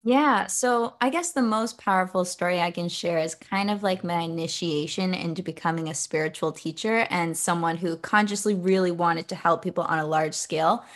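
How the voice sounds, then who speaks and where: A woman's voice: lowish voice, normal speed, few pauses. A young woman guesting on a podcast as an author and coach, talking casually and chattily about her own story. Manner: casual, chatty, many "like" fillers. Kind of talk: podcast interview.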